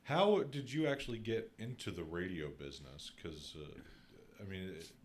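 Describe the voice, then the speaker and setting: An American man with a fairly low voice hosts a relaxed, conversational interview. He puts his questions informally, in halting phrases that build up piece by piece. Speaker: interview host.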